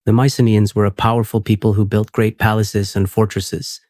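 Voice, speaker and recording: A man hosting a sleep podcast, reading a script in a steady, unhurried, soothing way. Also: lowish voice, no room sound, studio quality.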